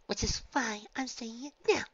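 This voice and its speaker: A woman voicing a shy female pony who is being quietly firm and insistent in a story reading. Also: high voice, quick pace, lo-fi sound, voice pushed up, natural voice. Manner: insistent, restrained.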